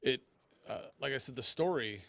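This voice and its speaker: A man's voice: medium pitch, animated, normal speed, creaky, sharp tone, no room sound. A man co-hosting a podcast in casual chat, rambling and hesitant in an easygoing way. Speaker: podcast co-host.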